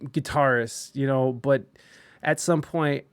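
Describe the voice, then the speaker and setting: A man's voice: medium pitch, steady tone, slow pace, clean tone, no room sound. A young man hosting a casual podcast, talking loosely, swearing freely and getting emphatic. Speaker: podcast host.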